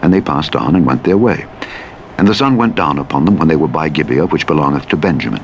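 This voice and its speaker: A man reading scripture as a formal, solemn and measured scripted narration. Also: very deep voice, lively intonation, highs cut off. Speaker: audiobook Bible narrator. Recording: loud truck engine noise, played as radio. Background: truck simulator engine, vehicle sounds, reversing beeps.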